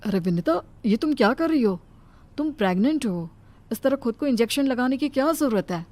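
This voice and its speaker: A woman voicing a mild, caring young husband who asks questions with gentle concern in a cartoon story. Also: on the high side, lively intonation, a little fast, natural voice. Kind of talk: cartoon moral story voice acting.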